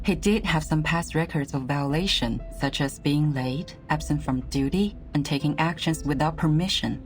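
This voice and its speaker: Actress doing low voiced bureaucrat boss lady, composed n exacting, game cutscene, music behind. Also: lightly acted. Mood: exasperated. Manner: formal, bureaucratic, measured. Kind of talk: video game voice acting.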